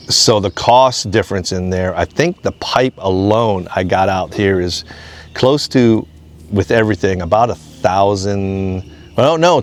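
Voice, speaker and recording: Man, American accent, lowish pitch, casual unscripted vlog talk, plain-spoken, rambling explanations. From Hawaii, United States. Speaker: off-grid homesteading vlogger.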